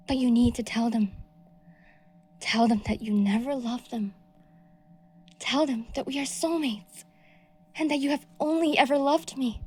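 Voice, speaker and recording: A woman voicing a delusional, possessive young woman stalker in an audio roleplay, moving between soft breathy whispers and shrill outbursts.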